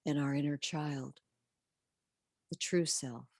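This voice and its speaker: A woman leading a guided meditation and prayer in a gentle, soothing, measured way with long pauses, her soft voice turning breathy at phrase endings. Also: sits low, fairly even intonation, no echo, clean studio sound.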